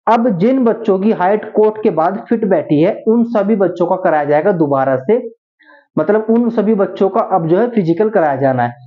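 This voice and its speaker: High-voiced man, phone-like sound. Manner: addresses viewers as friends, repeats and paraphrases. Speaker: host of a job exam update channel. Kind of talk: informal explainer, reading out notices. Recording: tinny, narrow phone-like sound.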